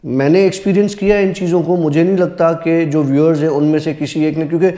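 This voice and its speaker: Mellow-voiced, earnest man. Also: on the high side, fairly even intonation, fast. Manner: earnest, serious. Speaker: storyteller guest on a horror story show. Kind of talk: casual conversational storytelling.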